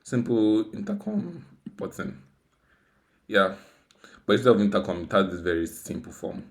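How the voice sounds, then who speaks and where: Casting: man, low-pitched voice, informal tech tutorial host who thinks aloud and repeats himself. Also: very animated, moderate pace. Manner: rambling, self-deprecating, repeats words and phrases. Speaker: tutorial host, software developer. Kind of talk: casual, unscripted coding screencast walkthrough.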